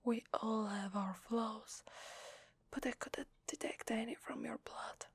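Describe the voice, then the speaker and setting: A woman with an Italian accent voicing a shy, needy, grateful vampire lady in hesitant, breathy whispered ASMR roleplay, close to the microphone. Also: a little high, steady tone, dry recording, exaggerated voice. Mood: tender, admiring. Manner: hesitant, soft, halting. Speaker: ASMR voice actor. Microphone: close microphone, dry.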